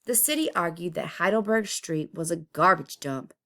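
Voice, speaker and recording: A woman reading a children's picture book aloud expressively, with deliberate, dramatic emphasis. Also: on the low side, lively intonation, fairly bright tone. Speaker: storybook reader.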